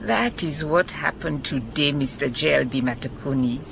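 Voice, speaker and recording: An actress playing a warm, wise woman detective with a Southern African accent in a radio drama, speaking in a measured, emphatic way. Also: sits low, animated, gravelly. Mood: proud, moved. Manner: unhurried, measured, emphatic repetition. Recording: noticeable background noise, chirping night insects.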